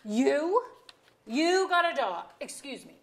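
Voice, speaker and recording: An actress playing a curious, easily startled middle-aged friend in a staged reading, hesitant and questioning. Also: female voice, sits high, big pitch swings, slow pace, exaggerated voice. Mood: incredulous. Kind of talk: staged play reading, dramatic comedy. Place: theatre auditorium.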